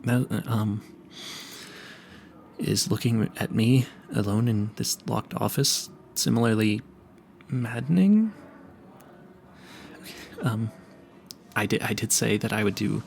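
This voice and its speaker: A man's voice: mid-range voice, vocal fry, a little mellow, a little hiss or hum. A man playing a nervous, smitten and clueless vampire hunter in an audio roleplay, stammering and correcting himself as he speaks to the listener. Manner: flustered, stammering, halting and self-correcting.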